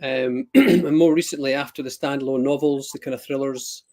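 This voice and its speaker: A man's voice: mid-range voice, steady tone, normal speed, darkish tone. A man with a Scottish accent, a guest author chatting casually on a video call, rambling and self-deprecating with lots of ums and restarts. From Scotland. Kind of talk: casual live-stream chat.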